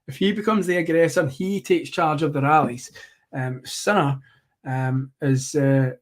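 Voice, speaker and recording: Guy hosting a live tennis watchalong, bit hoarse, lots of ums and restarts, higher-pitched voice. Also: steady tone, a little slow. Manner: rambling, self-correcting, many fillers. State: slightly hoarse. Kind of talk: live match commentary.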